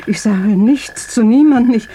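An older actress playing a frightened old woman held hostage in a German radio play, pleading and halting. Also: on the high side, warm tone, slight background noise, lightly acted. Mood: pleading, fearful.

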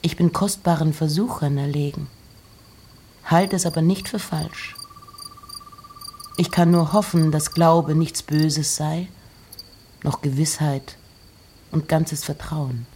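A woman gives a hushed, measured literary recitation in a husky, breathy voice that often nears a whisper. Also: deep voice, fairly even intonation, slight background noise. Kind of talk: slow literary recitation of poems and letters.

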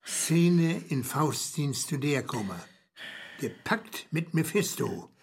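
Bossy writer, slightly high voice. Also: male voice, expressive, slow pace, breathy edge, heavily acted. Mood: impatient. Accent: Low German. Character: bossy, driven writer pushing a reluctant colleague. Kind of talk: comic radio play dialogue.

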